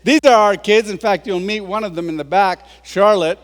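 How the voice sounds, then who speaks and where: Man in his 60s, high voice, joking storyteller, self-deprecating, long hall reverb. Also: moderate pace. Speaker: guest speaker. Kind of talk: humorous public talk.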